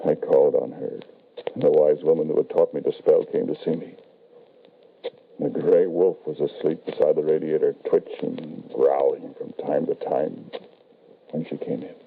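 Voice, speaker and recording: An actor playing an anguished, grieving father who narrates his tale solemnly and haltingly in an old-time radio horror drama. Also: extremely low pitch, steady tone, vocal fry, breathy edge, full character voice. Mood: grim narration. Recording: muffled, band-limited old radio recording.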